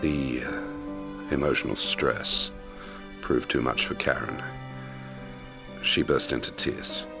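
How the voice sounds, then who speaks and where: A man acting as an investigator who narrates his grim logbook in a measured, brooding way for a mystery game, in a gravelly voice. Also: exaggerated voice. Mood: grave, recounting. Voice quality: very deep, gravelly. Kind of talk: scripted first-person narration for a mystery game. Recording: music under the voice. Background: music.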